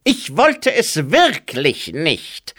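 A sickly horseman of plague voiced by a male narrator in a fantasy audiobook. He speaks high and slowly, oozing, with gleeful morbid relish. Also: wildly swooping pitch, pushed and bright, slightly rough, exaggerated voice.

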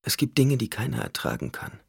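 A man's voice: medium pitch, wildly swooping pitch, fairly quick, gravelly, breathy edge, no room sound, clean studio sound, voice pushed up, full character voice. A man voicing a loyal male swordmaster in an audiobook, terse and grim with a growling edge.

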